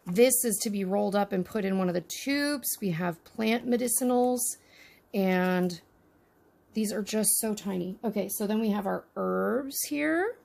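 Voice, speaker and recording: A woman's voice: mid-range voice, unhurried, gravelly, a little mellow. A woman casually narrating a miniature unboxing with chatty, wry asides. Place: indoors at a tabletop.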